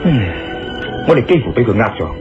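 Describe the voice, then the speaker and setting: An actor playing a calm, questioning investigator in a Cantonese radio drama, speaking in a measured, reasoning way. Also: male voice, medium pitch, lightly acted. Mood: doubtful. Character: thoughtful male investigator, calm, questioning husband. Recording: dull lo-fi radio recording, music behind.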